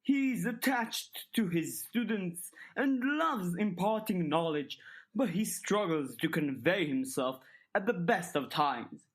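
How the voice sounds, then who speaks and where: A woman voicing a smooth, calculating older male headmaster in an audiobook, speaking in measured, formal, articulate sentences with polite manipulation. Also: fairly low pitch, expressive, pitched above the performer's own voice. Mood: calm, explaining. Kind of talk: dramatized audiobook dialogue.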